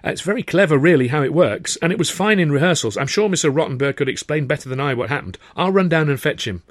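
A man's voice: fairly high pitch, lively intonation, quick pace, no room sound, clean studio sound, pitched above the performer's own voice, lightly acted. A man voicing a polite, eager young college servant with a deferential, hesitant manner. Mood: eager, apologetic.

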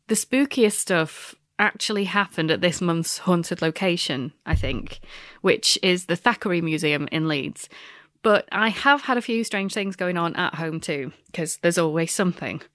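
A woman's voice: lowish voice, fairly bright tone, dry recording, clean studio sound. A woman hosts her podcast, telling stories in a wry, self-mocking way, her nose stuffed up from a recent illness. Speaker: podcast host. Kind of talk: scripted podcast monologue.

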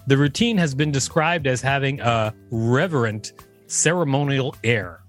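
A man's voice: mid-range voice, measured pace, soft background music. A male podcast co-host reading an encyclopedia article aloud, with an amused, casual manner.

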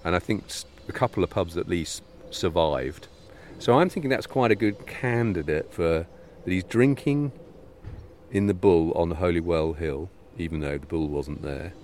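A man's voice: deep voice, moderate pace, a little mellow, slight background noise. A man co-hosting a podcast in casual chat, wry and teasing, sometimes addressing the listeners directly. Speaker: podcast co-host.

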